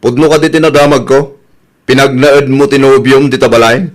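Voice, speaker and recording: A man's voice: mid-range voice, steady tone, normal speed, lightly acted. A man playing a stern, protective elder brother in a radio drama, scolding, with an Ilocano accent. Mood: questioning.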